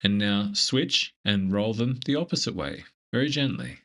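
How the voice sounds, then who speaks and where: A man leading a scripted guided meditation in a gentle, measured, instructive manner. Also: fairly low pitch, big pitch swings.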